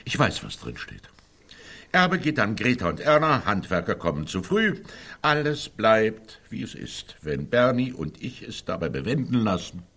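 Deep, husky, sarcastic man. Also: wildly swooping pitch, moderate pace, fairly bright tone, full character voice. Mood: dry, resigned. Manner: sarcastic, lecturing. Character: Gustav, sceptical, pedantic elder brother, dryly mocking. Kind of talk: German radio drama acting.

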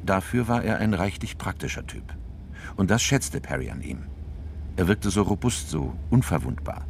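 A man narrating a radio crime drama from a script in a measured, sober, factual way. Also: sits low, big pitch swings, vocal fry, a little hiss or hum. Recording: faint music behind.

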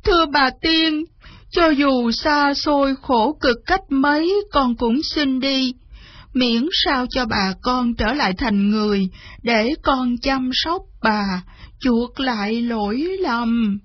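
A woman's voice: on the high side, takes it slowly, no room sound, lo-fi sound. A woman voicing a young boy in a children's tale, pleading and tearful with remorse. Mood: earnest pleading.

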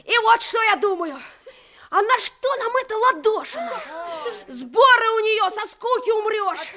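An actress playing an impulsive, hot-tempered schoolboy in a children's radio play, blurting and insistent. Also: female voice, very high voice, expressive, unhurried, pushed and bright, heavily acted. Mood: indignant, excited.

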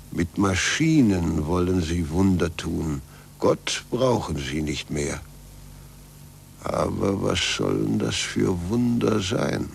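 An actor playing a stern, zealous churchman in a 1940s German radio drama, declamatory and deliberate, with a gravelly voice. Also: sits low, exaggerated voice. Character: stern, zealous old churchman, a cardinal inquisitor. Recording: noisy 1940s radio recording with hum.

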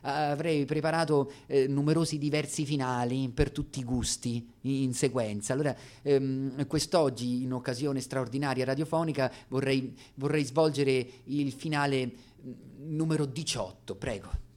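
A man delivering an intimate comic theatre monologue to radio listeners, halting and ironically courteous, as if in muttered asides. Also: mid-range voice, steady tone, darkish tone.

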